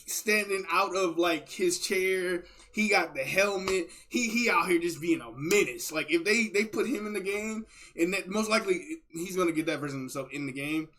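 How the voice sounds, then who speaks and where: A man streaming casual reaction chat, talking in a rambling, slangy, excitable fan style. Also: high voice. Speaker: streamer reacting to game voice lines.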